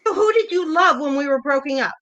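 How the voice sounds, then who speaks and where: A woman's voice: sits high, expressive, a little fast, a bit bright, lo-fi sound. A woman playing a hurt, jealous older wife, pointed and probing, in a naturalistic play reading. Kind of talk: virtual staged play reading, naturalistic dialogue.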